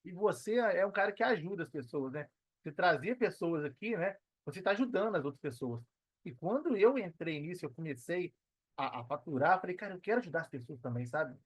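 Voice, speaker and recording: A man's voice: fairly high pitch, expressive, brisk, slightly rough, no room sound. A Brazilian man speaking as a podcast guest in casual, slangy conversation, earnest and emphatic, repeating phrases for emphasis. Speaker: podcast guest, digital entrepreneur. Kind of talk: casual conversational interview talk.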